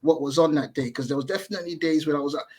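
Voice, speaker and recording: A man's voice: on the high side, rapid-fire, darkish tone. A man in his thirties, a podcast guest chatting over a video call, thinking aloud and correcting himself as he goes. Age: 30s. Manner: thoughtful, hedging, self-correcting.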